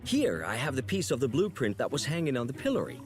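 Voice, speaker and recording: An actor playing a curious young apprentice angel in a video game, informal and inquisitive. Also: male voice, mid-range voice, huge pitch swings, fast, over music. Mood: friendly. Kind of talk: video game character dialogue.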